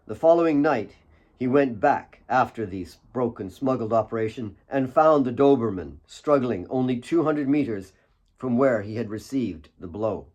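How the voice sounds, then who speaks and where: A man reading his own fiction as an audiobook, with solemn, deliberate dramatic narration. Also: medium pitch, expressive, darkish tone, highs cut off.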